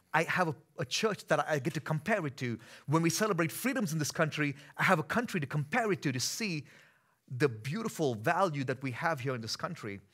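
A man in his thirties from India with an Indian accent, preaching a sermon on a church stage, moving between easy conversation and emphatic, forceful delivery. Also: on the high side. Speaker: pastor.

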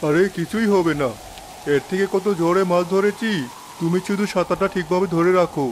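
A man as a high-pitched devoted fisherman father. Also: fairly even intonation, normal speed, slight background noise, lightly acted. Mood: reassuring. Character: devoted village fisherman father, determined and protective. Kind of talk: dramatic Bengali animated story acting. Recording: faint rain and storm ambience.

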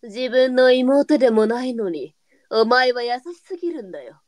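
Woman acting high-voiced, worldly brothel mistress. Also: lively intonation, normal speed, darkish tone, no echo, natural voice. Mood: brusque. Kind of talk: online live voice drama acting.

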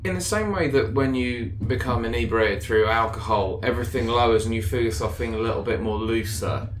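Rambling player. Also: male voice, medium pitch, a little fast, a little hiss or hum. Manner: rambling, searching for words. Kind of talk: playing a tabletop game.

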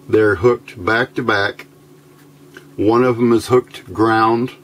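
A man giving an informal hands-on electronics tutorial, thinking aloud in a halting, unscripted way at an indoor workbench. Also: on the low side. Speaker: electronics hobbyist demonstrating a homebuilt circuit. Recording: amateur recording with clear background noise.

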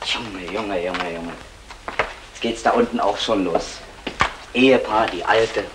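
An actor playing a cocky, bossy safecracker with a sly, mocking manner in a vintage German radio crime comedy. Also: male voice, lowish voice, a little slow, lightly acted. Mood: scheming. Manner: sly, mocking, baby-talk diminutives. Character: cocky, scheming male safecracker, the gang's bossy planner. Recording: noisy vintage 1960 radio recording.

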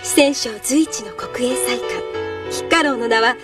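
A woman voicing a feisty, responsible young elder sister in a cartoon, brisk and earnest. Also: fairly high pitch, natural voice. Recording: distant sound with music.

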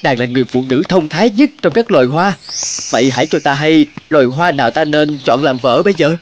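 A man voicing a vain, picky male butterfly in a cartoon, boastful and pompous with theatrical flattery. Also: on the high side, brisk, muffled recording, a little hiss or hum, lightly acted. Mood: flirtatious. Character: vain, picky male butterfly bachelor seeking a flower bride. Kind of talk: cartoon character acting.

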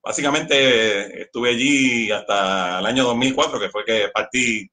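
A man's voice: medium pitch, moderate pace, bright, forceful tone. A middle-aged man from Puerto Rico with a Puerto Rican accent, a musician guest chatting casually and exuberantly in a small room. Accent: Puerto Rican Spanish. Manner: exuberant, laughs often. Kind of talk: casual interview chat. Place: small indoor studio room.

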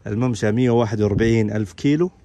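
A man's voice: on the low side, very fast, warm tone. A man, giving an informal walk-around commentary as a car auction presenter. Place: large indoor car showroom and workshop.

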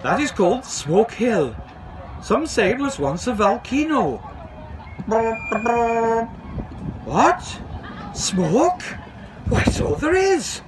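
A man voicing a friendly, excitable engine driver from a children's storybook, with eager exclamations. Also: extremely high pitch, slow pace, a crowd in the background, voice pushed up, exaggerated voice, rough recording.

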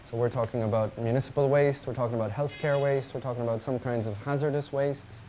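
A man's voice: medium pitch, steady tone, quick pace, mellow, slight background noise. A man in his twenties from France, explaining his waste management work in an interview.

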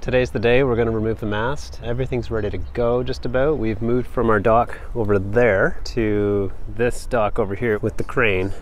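A man's voice: lowish voice, a little mellow, a little hiss or hum. A man in his thirties, a sailing vlogger, explaining boat maintenance step by step in a practical, matter-of-fact way. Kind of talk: casual how-to narration. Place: on a sailboat at a marina.

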